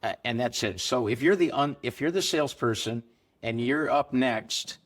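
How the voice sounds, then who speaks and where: A middle-aged man, a business co-founder, explaining his trade in a relaxed interview, telling firsthand stories. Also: medium pitch, normal speed. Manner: explanatory, anecdotal, with occasional laughs. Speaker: gold business co-founder, guest. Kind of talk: conversational interview answers.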